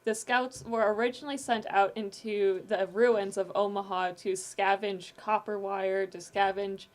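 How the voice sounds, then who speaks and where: A woman's voice: mid-range voice, little pitch movement, unhurried. A woman guest explaining the world of an audio drama she makes, in casual and enthusiastic conversation. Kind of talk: casual chat, explaining fictional world-building.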